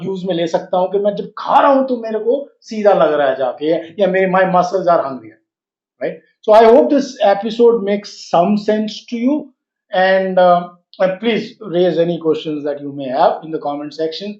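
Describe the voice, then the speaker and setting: A man with a fairly high voice gives health coaching in Hindi, slipping into English phrases and asking rhetorical questions along the way. The sound is roomy and slightly muffled, like a lo-fi recording in an echoey room. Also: darkish tone. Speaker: fitness and wellness coach. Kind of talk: health advice talk to camera. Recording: roomy echo, dull lo-fi sound.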